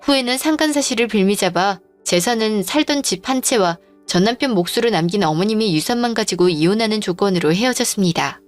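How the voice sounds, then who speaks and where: A woman in her forties narrating a family story and speaking her own part, calm, firm and matter-of-fact. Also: medium pitch, brisk, hardly any pauses. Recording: dry studio voice-over with faint music.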